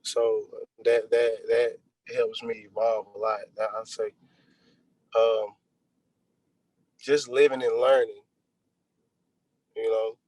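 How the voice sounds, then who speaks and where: A man's voice: medium pitch, a little mellow, no echo, poor audio. Male interview guest, laid-back and reflective, casual conversational delivery. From Texas, United States. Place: remote video call.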